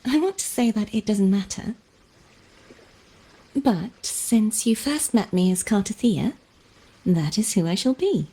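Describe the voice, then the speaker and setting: An actress playing a gentle, weary young noblewoman swordfighter who speaks softly and wistfully with a breathy voice in a game. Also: medium pitch, lively intonation. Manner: soft, slow, wistful.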